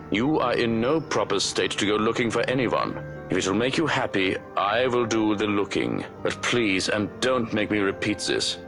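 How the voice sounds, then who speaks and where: A man voicing a stern, dry, protective servant in a visual novel, speaking formally and precisely with a mild scolding tone. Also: on the low side. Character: stern, dry, protective male servant to a troubled young master. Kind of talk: visual novel character acting.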